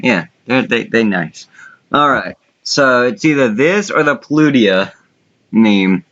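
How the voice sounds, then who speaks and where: A man's voice: medium pitch, expressive, slow, a bit bright, a little hiss or hum. An informal man talks off the cuff as a contest co-host. His delivery is blunt and conversational, and the recording is dull and lo-fi. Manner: blunt, informal, swears casually. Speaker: online contest co-host and judge. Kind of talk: casual unscripted chat. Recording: muffled lo-fi voice recording.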